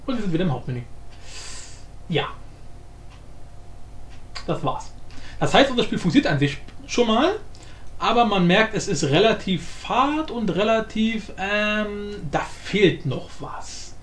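High-pitched, animated man in echoey room. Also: a little slow, pauses often. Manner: informal, blunt, rambling. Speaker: tutorial presenter. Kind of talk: casual software tutorial narration. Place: indoor room, voice-over for screen recording. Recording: roomy echo, light background noise.

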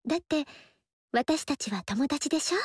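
An actress voicing a gentle, friendly young noblewoman in a video game, talking warmly and chattily. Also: sits high, expressive, moderate pace, no echo, studio quality. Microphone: studio mic, dry.

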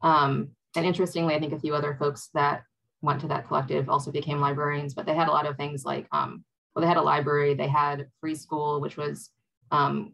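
A young woman from Wisconsin speaking on a video call panel, reflective and hesitant with many pauses. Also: low voice, vocal fry, a bit bright, poor audio. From Wisconsin, USA. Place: home room.

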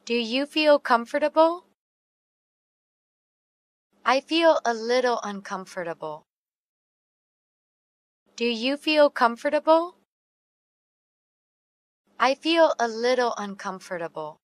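Female, slow deliberate delivery, clear diction, dry studio sound. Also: fairly high pitch, animated, no room sound. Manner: slow and carefully enunciated. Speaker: English teacher reading dialogue. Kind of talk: slow read-aloud English practice phrases.

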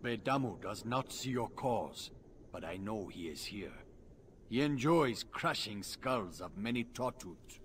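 An actor playing a proud, solemn alien native warrior guide in a video game, speaking formal, clipped English. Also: male voice, lowish voice, lively intonation, measured pace, a little hiss or hum. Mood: resolute. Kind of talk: video game character acting.

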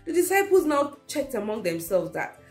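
A woman's voice: sits high, normal speed, soft background music. A young woman teaching a children's church class, retelling a Bible story to small children with lots of repetition and questions. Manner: animated, repeats key phrases for kids. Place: indoor room at home.

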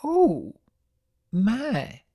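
A man's voice: high-pitched, wildly swooping pitch, very slow, mellow, pitched above the performer's own voice, full character voice. A man voicing a feisty, blunt elderly country granny pony with folksy talk, in a dramatic reading of a cartoon story. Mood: dismayed.

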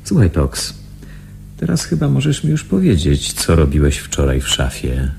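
A man's voice: a bass voice, huge pitch swings, normal speed, vocal fry, a little mellow, a little hiss or hum, heavily acted. An actor in a radio drama playing an observant, puzzled male intelligence agent, musing and questioning as he speaks. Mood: probing, amused.

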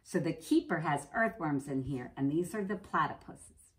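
An American woman, a middle-aged preschool teacher, reading a picture book aloud to young children and pausing to explain things simply. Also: sits low, lively intonation. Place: indoors, classroom.

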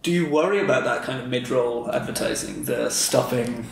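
A man's voice: mid-range voice, moderate pace, gravelly, slight background noise. A man hosting a casual podcast interview, talking informally and often rephrasing himself. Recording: very echoey room.

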